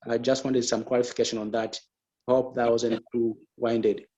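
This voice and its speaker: A man's voice: mid-range voice, flat delivery, dark tone. A man at an association meeting, politely asking a long question with many hesitations. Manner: halting, many fillers, polite. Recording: dull lo-fi call audio.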